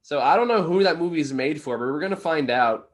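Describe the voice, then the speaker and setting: A man's voice: fairly high pitch, expressive, brisk. A man co-hosting a casual, unscripted review chat, talking in a wry and rambling way. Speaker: movie reviewer, co-host.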